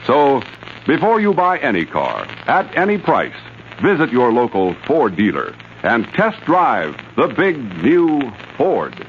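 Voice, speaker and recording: A man's voice: medium pitch, wildly swooping pitch, measured pace. A man reads a 1950s radio commercial with polished, emphatic salesmanship. His intonation swings widely, and the old recording is slightly muffled with faint noise. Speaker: commercial announcer. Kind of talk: 1950s radio advertising read.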